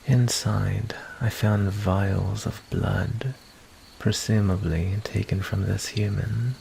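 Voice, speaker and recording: A man voicing a calm, menacing vampire who toys with his prey, narrating an ASMR roleplay in a deliberate, hushed voice that slips into whispers. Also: fairly low pitch, slight background noise, heavily acted. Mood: calm, intrigued. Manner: slow, deliberate, hushed delivery slipping into breathy whispers.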